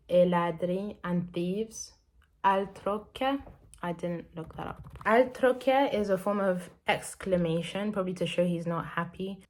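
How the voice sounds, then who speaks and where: Casual, thoughtful and unhurried: a woman with a slightly low voice and French as her first language, talking her way through readings on a learning vlog. she thinks aloud as she goes, piecing each meaning together bit by bit. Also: a little mellow.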